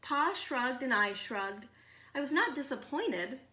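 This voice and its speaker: Slow, higher-voiced woman reading a storybook. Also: roomy sound. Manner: measured, expressive storytelling with deliberate pauses. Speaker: read-aloud storyteller. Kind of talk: children's picture book read-aloud.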